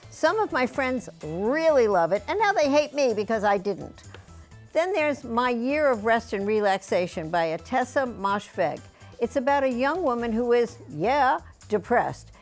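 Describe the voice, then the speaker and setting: High-pitched wry woman reviewing, music underneath. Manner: blunt, wry. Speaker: book reviewer and columnist. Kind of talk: scripted review to camera.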